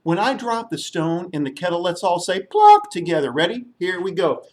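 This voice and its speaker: A man's voice: high voice, sing-song, moderate pace, a little mellow. A man from the United States telling a story to young children as a puppet show host.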